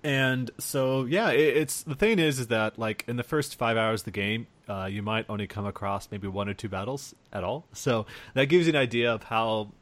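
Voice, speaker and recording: A man's voice: mid-range voice, normal speed, hardly any pauses, a bit bright. Man chatting casually about games. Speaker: podcast host discussing video games. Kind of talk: casual chat.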